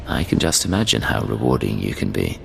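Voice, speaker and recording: Male spy lead for a game: suave, swinging intonation, gravel, cocky charm, reverberant sound. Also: big pitch swings, brisk, slight background noise, heavily acted. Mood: smug, flirtatious. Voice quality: deep, gravelly. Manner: smooth, self-assured. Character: suave, cocky, flirtatious male Imperial secret agent. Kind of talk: dramatic video game acting. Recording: long reverb under music.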